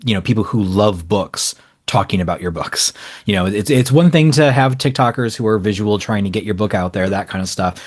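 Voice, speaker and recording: A man's voice: fairly low pitch, a little mellow. A man talking as a publisher guest in a casual interview, conversational and anecdotal.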